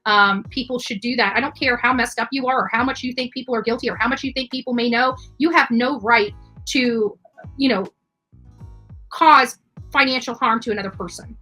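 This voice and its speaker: A woman giving unscripted livestream commentary in halting phrases with many filled pauses. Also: on the high side, steady tone, sharp tone, soft background music. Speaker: livestream host.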